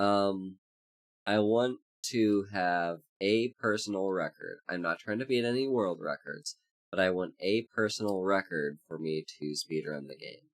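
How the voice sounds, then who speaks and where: A VTuber streamer in a casual livestream chat, congested and hoarse, rambling with many fillers while greeting chat by name. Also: low voice.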